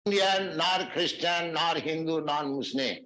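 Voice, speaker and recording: A man giving a spiritual lecture in measured, halting phrases and chanting Sanskrit verses. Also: a little high, sharp tone, reverberant. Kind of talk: recorded philosophy lecture.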